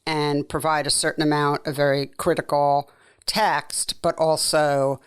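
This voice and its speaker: A woman's voice: low voice, little pitch movement, unhurried, smooth. A woman hosting a podcast in a conversational, enthusiastic interview style. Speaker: podcast host.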